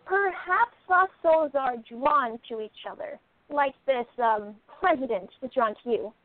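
A woman playing a high-voiced, perceptive sleuth. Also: a little slow, no echo. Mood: probing, sympathetic. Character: perceptive, gently persistent female sleuth. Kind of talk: radio play acting. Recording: tinny, phone-like narrow-band audio.

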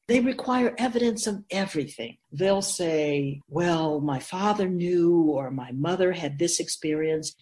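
A woman's voice: on the low side, a little mellow. A woman playing an assured immortal keeper of souls in a radio drama, explaining things in a composed, formal, didactic way. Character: feminine-voiced immortal spirit keeper of souls, assured and instructive.

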